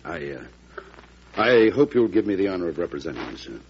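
An actor playing a solemn, courteous and loyal government lawyer in a classic radio drama. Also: male voice, low voice, very animated, brisk. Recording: dull old radio broadcast, background noise.

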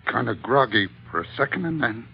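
An actor playing a desperate, battered ship's mate with a gravelly, husky voice in an old radio drama. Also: male voice, medium pitch, expressive, brisk, full character voice. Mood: shaken, dazed. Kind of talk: classic radio drama acting. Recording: dull vintage radio recording.

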